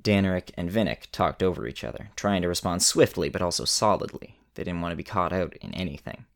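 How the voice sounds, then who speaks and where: A man narrating a scripted story in a measured way with dramatic pauses. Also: sits low, lively intonation, creaky.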